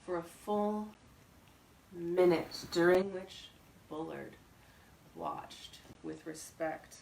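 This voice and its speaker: A woman narrates a written story in an expressive way. Her voice sits on the low side. The recording is roomy and echoey, with the microphone some distance away. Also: drawn-out words, vocal fry, slight background noise. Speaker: story reader. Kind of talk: scripted narration of a short story.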